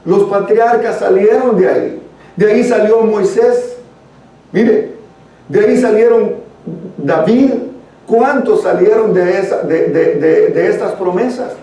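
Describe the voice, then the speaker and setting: A man's voice: sits high, unhurried, mellow. A man with a Guatemalan accent leading a church Bible study, reading and explaining scripture in an earnest, conversational teaching manner. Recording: very echoey room, distant microphone.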